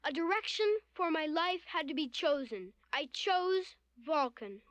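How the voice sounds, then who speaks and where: A very high child's voice, a solemn alien boy in a science fiction cartoon. The delivery is formal and composed, with faint music behind it. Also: expressive, a little slow, fairly bright tone. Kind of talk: animated science fiction series acting. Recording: old cartoon soundtrack with music.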